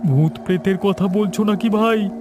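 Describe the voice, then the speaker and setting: High-pitched, jumpy village man voiced by a man. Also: normal speed, music in the background, lightly acted. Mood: spooked. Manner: exclaiming, chatty, alarmed. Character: jumpy, superstitious village man chatting at a shop. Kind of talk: cartoon story acting.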